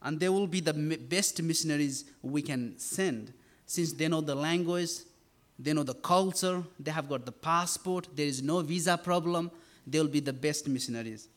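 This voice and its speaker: Young man from Nepal, fairly high voice, earnest church speaker who stops and restarts often. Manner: earnest, halting with fillers and restarts. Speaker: campus ministry worker. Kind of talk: church talk and sermon.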